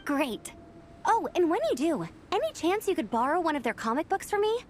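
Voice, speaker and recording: A woman's voice: very high voice, big pitch swings, fairly quick, slight background noise. A woman voicing a friendly, chatty young female knight who helps organize an event, acted for a game. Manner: chatty and upbeat. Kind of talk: game voice acting.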